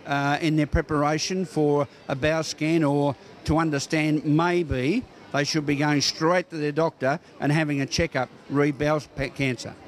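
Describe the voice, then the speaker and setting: A man with an Australian accent working as a local TV news interviewer, phrasing his questions in a halting, hesitant way. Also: on the high side, steady tone.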